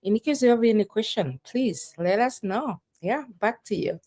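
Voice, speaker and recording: A woman's voice: a little high, expressive, moderate pace, a little mellow. A woman from Kenya, a guest coach on a live stream, introducing herself in a friendly, brief way. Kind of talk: casual live-stream talk.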